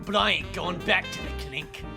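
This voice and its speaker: An actor playing a scrappy, whining street thug in a radio drama, shouty and excitable. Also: male voice, high voice, moderate pace, creaky, loud music behind the voice. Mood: defiant. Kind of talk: old-time radio drama acting.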